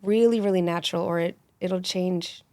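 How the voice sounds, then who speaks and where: A woman in her forties, a med spa injector, telling stories animatedly and quickly in a casual podcast chat. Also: fairly low pitch, no room sound. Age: 40s. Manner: animated, rapid storytelling.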